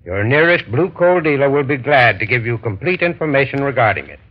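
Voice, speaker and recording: A man presents a vintage radio advertisement in a mid-range voice. He is formal, measured and instructive, like a scripted expert, and the old broadcast sound is muffled. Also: medium pitch, very animated. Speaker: heating expert giving sponsor message.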